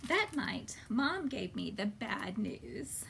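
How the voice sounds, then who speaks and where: A woman's voice: medium pitch, sing-song, slow, creaky, noisy background, voice pushed down, full character voice. A woman reading as a sulky, screen-loving girl who grumbles dramatically through a storybook road trip. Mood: sulky, grumbling.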